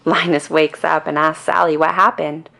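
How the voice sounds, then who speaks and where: Low, creaky-voiced woman reading a bedtime story. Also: moderate pace. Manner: calm, expressive storytelling. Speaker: storybook reader. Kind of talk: scripted children's bedtime story narration.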